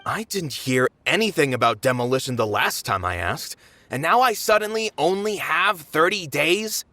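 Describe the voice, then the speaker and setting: An actor playing a protective middle-aged theater manager in a game, firm and reassuring. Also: male voice, fairly high pitch, expressive, normal speed, pushed and bright. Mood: protesting.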